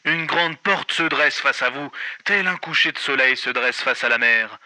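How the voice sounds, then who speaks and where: An actor playing a theatrical, smug spy handler narrating a game in a radio comedy. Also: male voice, medium pitch, normal speed, noisy background, close to an everyday voice. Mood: instructing. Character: theatrical male spy handler, smugly instructing.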